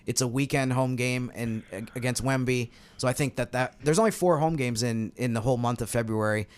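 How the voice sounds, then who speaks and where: A man's voice: medium pitch, brisk, clean tone. An American man speaking as a sports podcast analyst in a casual, conversational style. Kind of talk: casual basketball talk.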